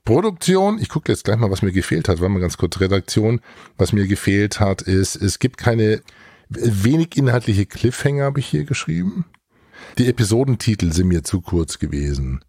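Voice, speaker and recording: A man's voice: lowish voice, very animated, moderate pace, dark tone, no echo, studio quality. A man hosting a casual podcast review, chatty and thinking aloud as he talks.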